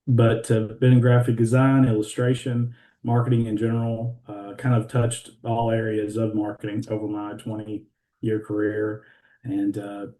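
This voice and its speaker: A man in his forties, a graphic designer, introducing himself in a relaxed way with lots of hesitations. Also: on the low side, echoey room, poor audio. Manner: relaxed, many "uh" fillers. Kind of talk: casual self-introduction.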